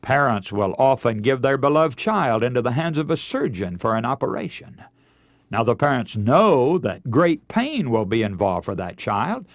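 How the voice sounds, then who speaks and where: A man delivers a radio sermon in a medium-pitched voice with very lively intonation. His oratory is earnest and measured, shifting between reading scripture and exhorting listeners. The sound is dry, with little room around it. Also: no echo. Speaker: preacher on a radio broadcast.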